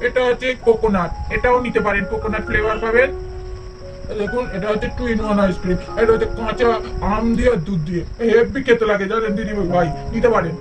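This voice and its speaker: An eager street ice cream seller from a puppet doll story, played by a man, with an unusually high voice. He calls out persuasive patter from a little way off, over clear music. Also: fairly even intonation, fast, natural voice. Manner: calling out, salesman's patter. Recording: distant mic with music behind.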